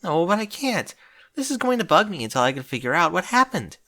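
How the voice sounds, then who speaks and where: A man's voice: high-pitched, big pitch swings, a little fast, sharp tone, pitched above the performer's own voice, heavily acted. A man voicing a bookish, anxious female unicorn who speaks earnestly and fretfully in a storybook reading.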